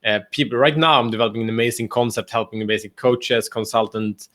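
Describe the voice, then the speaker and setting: A man's voice: medium pitch, fast talker, fairly bright tone. A man from Sweden, a business coach speaking enthusiastically on a video call with lots of fillers. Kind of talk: casual chat on a video call.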